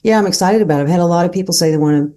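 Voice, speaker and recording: A low, mellow-voiced woman with a light North Carolina accent talks as an interview guest. She speaks in an unhurried, easygoing way, pausing on fillers as she gathers her thoughts. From North Carolina, USA. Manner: unhurried, relaxed, hesitant fillers. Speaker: agency founder, guest. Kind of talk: conversational interview.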